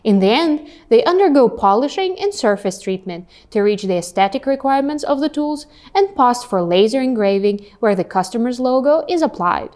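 A woman's voice: on the high side, a little mellow. Young woman presenting a factory tour. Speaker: host. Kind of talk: scripted factory tour narration. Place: large factory hall indoors.

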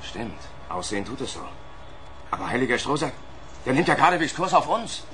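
A man's voice: mid-range voice, big pitch swings, a little fast. An actor playing a colloquial, astonished male radar tower operator in a radio play. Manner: colloquial, amazed. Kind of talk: 1970s radio play acting. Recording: loud ambient noise behind.

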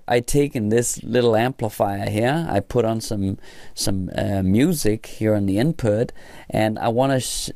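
A man with a fairly low, mellow voice teaches in an informal, hands-on way. He takes his time, building explanations in halting short phrases as he thinks aloud. The sound is close and dry. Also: no echo. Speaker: electronics instructor. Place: small indoor room at a workbench.

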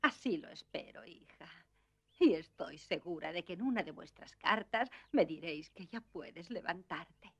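An actress playing a kind, gentle old grandmother in a dubbed children's cartoon, reassuring and affectionate. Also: lowish voice, lively intonation, unhurried, husky, exaggerated voice. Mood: tender.

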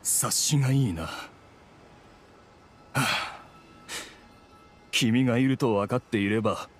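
A man playing a middle-aged, resigned merchant and father in an anime game, with a measured, persuasive manner. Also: medium pitch, soft background music, natural voice. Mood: wary, resigned. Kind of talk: Japanese anime game voice acting.

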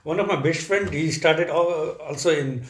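A man in his thirties from Nepal, a surgeon being interviewed on a sofa, answering calmly and matter-of-factly with frequent hums. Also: a little high, normal speed. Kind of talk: casual conversational interview. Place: living room, seated on a sofa indoors. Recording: echoey room.

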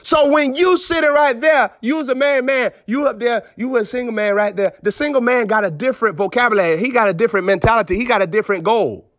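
A man's voice: very high voice, quick pace, hardly any pauses, fairly bright tone, no echo, studio quality. A male preacher giving a streamed sermon in an emphatic, repetitive, scolding manner. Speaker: prophet preacher.

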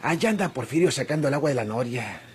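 A man's voice: mid-range voice, expressive, quick pace, lightly acted. Man acting an oily rural Mexican flirt. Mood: flirtatious. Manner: ingratiating, rustic. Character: oily, flirtatious rustic male drifter. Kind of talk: Mexican golden-age radionovela acting. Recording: noisy old radio drama recording.

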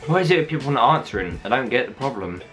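A man's voice: mid-range voice, sing-song, quick pace, fairly bright tone, faint music behind. A young man, chatting casually in a hesitant, rambling, slangy way. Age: young adult. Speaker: prank caller, video host. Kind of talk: casual improvised chat. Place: small room at home.

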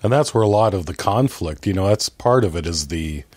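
Very deep-voiced man, lively intonation, dry sound. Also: fairly quick, no room sound. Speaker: podcast guest. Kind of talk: casual movie discussion.

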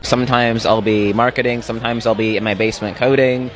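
A man's voice: mid-range voice, fairly even intonation, brisk. A man in his twenties giving casual answers in an outdoor interview on a handheld mic. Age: 20s. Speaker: interviewee, tech start-up co-founder. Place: outdoors at a conference.

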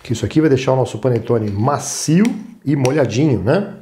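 A man's voice: medium pitch, a little fast, dark tone, slight background noise. Brazilian man casually teaching a recipe. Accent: Brazilian Portuguese. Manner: informal, instructive, frequent check-in tags. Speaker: cooking show host. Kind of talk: casual step-by-step cooking demonstration.

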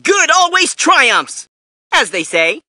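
An actor playing a cocky, excitable young male superhero in a fighting game, brash and showy with shouted catchphrases. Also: extremely high pitch, slow, no room sound, heavily acted. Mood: triumphant.

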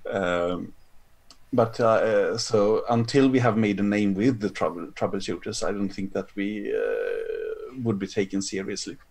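A man's voice: on the low side, lively intonation, unhurried, vocal fry, darkish tone. A man from Sweden, a game designer guest in a casual podcast interview, talking hesitantly with many fillers.

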